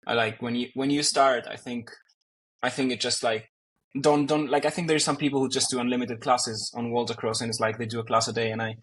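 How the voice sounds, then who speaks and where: A man's voice: mid-range voice, fairly even intonation, a little fast, fairly bright tone, husky. A man from Greece, a native Greek speaker, chatting as an interview guest and talking with many fillers and restarts. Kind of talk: casual conversation.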